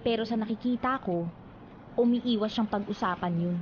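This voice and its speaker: A Filipino actress playing a frustrated, volatile and jealous wife in a melodramatic radio drama. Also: female voice, a little high, normal speed, lo-fi sound, a little hiss or hum, natural voice. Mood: hurt, resentful. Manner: emotional, melodramatic outbursts. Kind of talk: Philippine radio drama acting. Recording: radio drama with music underneath.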